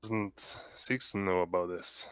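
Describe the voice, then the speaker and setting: A man's voice: low-pitched, fairly even intonation, slow, a bit bright, clear, resonant tone, no echo. A man taking part in a tabletop roleplaying game with casual, in-character chat.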